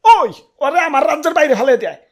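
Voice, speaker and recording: Woman voicing a high-pitched pompous cartoon potato king. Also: sing-song, fast, slight background noise. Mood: indignant. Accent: Bangladeshi. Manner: pompous, scolding. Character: boastful, touchy, vengeful potato king. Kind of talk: comic cartoon acting.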